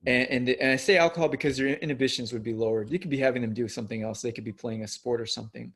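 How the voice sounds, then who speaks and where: Podcast guest from the US, male, earnest and thinking aloud, mid-pitched voice. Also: rapid-fire, fairly bright tone, husky. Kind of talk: casual conversational interview.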